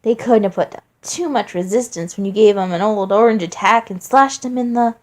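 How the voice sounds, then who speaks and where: A woman's voice: medium pitch, fairly quick, a little mellow, close to an everyday voice. A woman voicing a cruel young male flower monster, falsely sweet and mocking, in a storybook reading. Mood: taunting. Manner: sing-song, falsely innocent, taunting.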